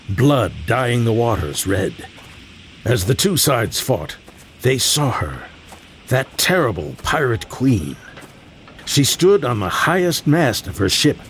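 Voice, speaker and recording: Proud, teasing old grandfather, creaky and animated fantasy storyteller over background ambience. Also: medium pitch, full character voice. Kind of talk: fantasy audio drama acting. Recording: clear background ambience noise.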